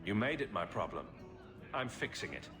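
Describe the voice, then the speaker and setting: A man voicing a confident male hero who speaks calmly and teases dryly. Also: lowish voice, normal speed. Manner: calm, dryly teasing. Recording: game cutscene with music behind.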